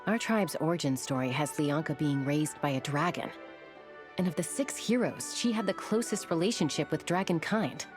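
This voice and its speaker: A level-headed young woman scout for a video game. Her voice is low yet lively in its rise and fall, and she tells old legends steadily over clear game music. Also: moderate pace. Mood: recounting. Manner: steady, storytelling. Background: game soundtrack music.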